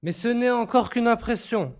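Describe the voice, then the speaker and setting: A man's voice: very high voice, normal speed. An actor playing a confident, impatient young male reporter-detective in a French audio drama. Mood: assured.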